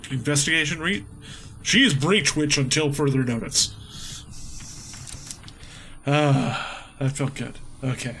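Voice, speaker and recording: A man's voice: medium pitch, slow pace, pauses often, fairly bright tone, slight background noise. Chatty guy narrating a video game. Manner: chatty, jokey, thinking aloud. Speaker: gaming video host. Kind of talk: casual let's play commentary.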